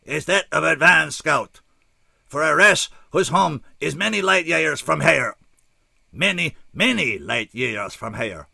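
A man voicing a towering, tormented alien scout who speaks slowly and formally with an odd, unplaceable accent, pitched well above the reader's own voice. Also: lively intonation, vocal fry, sharp tone, dry recording, clean studio sound, exaggerated voice. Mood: grave. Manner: slow, formal, oddly accented speech.

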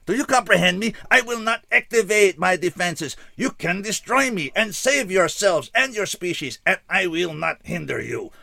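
A man's voice: high-pitched, expressive, normal speed, creaky, hardly any pauses, bright, forceful tone, dry recording, voice pushed up, heavily acted. A man voicing a tormented giant male alien who speaks in stiff, formal phrases. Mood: desperate. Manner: stiff formal diction, rising to shrieks.

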